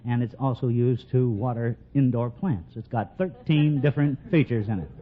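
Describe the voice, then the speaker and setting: A man, a quiz contestant, answering politely with earnest sales-pitch explanations. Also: normal speed. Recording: muffled, hissy 1940s radio recording.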